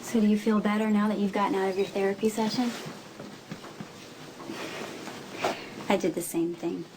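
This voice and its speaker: Actress as caring aunt, medium-pitched female voice, earnest, gently persuasive, TV soap drama, noticeable ambient noise. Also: fairly even intonation, fast talker, lightly acted. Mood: gentle, casual. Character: caring, candid aunt urging a child toward help. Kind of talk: naturalistic television soap drama acting. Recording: clearly noisy background ambience.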